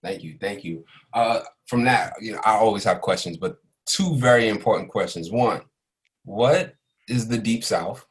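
A man's voice: lowish voice, moderate pace. Man, co-host, casual chat, playful, laughs a lot. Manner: laughs often, playful questions. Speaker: podcast co-host.

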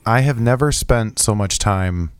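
A young man with a lowish, slightly hoarse voice, still getting over a recent illness. He talks in an animated, rambling way, full of fillers and restarts. The recording is close and dry, with no room sound. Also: unhurried, no room sound. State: low, hoarse after being sick. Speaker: podcast co-host. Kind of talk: casual chat.

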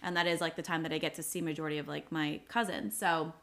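A woman's voice: low voice, level tone, quick pace, vocal fry, a bit bright. A woman in her late twenties hosting a casual podcast chat, talking loosely with lots of "like" fillers. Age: 20s. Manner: chatty, many "like" fillers. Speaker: podcast host.

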